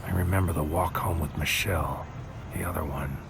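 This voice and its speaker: A man's voice: low-pitched, steady tone, brisk, gravelly, heavy background noise. An actor playing an anxious, brooding agent who tells his own story in a noir audio drama, in terse poetic fragments. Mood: brooding, tense. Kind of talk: first-person noir audio drama narration.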